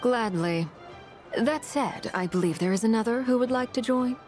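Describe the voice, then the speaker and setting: A woman playing a composed, intimidating noblewoman in a video game, speaking in a measured, formal, unruffled way. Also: on the high side, darkish tone, lightly acted. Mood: cool challenge. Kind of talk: restrained video game voice acting. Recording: game audio with background music.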